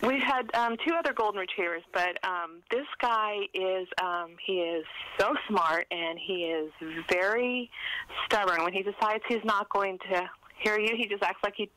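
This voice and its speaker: A middle-aged woman chatting casually as an interview guest, halting and lighthearted as she talks. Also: medium pitch, sharp tone, a little hiss or hum, low-quality recording. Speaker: author, podcast guest.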